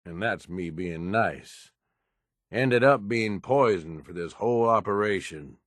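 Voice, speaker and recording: An actor voicing a gruff, streetwise raider adviser in a video game, with a husky voice and a blunt, coaxing, profane way of talking. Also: male voice, on the low side, measured pace, fairly bright tone, no room sound, clean studio sound. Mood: explanatory, wry. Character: gruff, streetwise male raider right-hand man, pragmatic schemer. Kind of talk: video game character dialogue.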